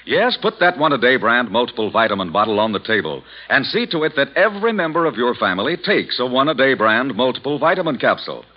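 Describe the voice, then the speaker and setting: A man's voice: mid-range voice, very animated, fast, hardly any pauses. An American man reading as an old-time radio announcer, emphatic and salesmanlike. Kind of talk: 1940s radio advertising read. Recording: dull lo-fi old radio recording.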